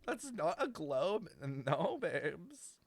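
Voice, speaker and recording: A young man streaming a video game with casual live commentary, expressive, slangy and swearing freely. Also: sits high, extremely slow, gravelly, faint music behind.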